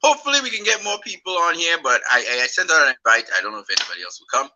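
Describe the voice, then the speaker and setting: A man's voice: a little high, very animated, rapid-fire, lo-fi sound, background noise. A man from Trinidad and Tobago hosting a casual livestream chat on his phone, rambling and repeating words as he goes. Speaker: livestream host.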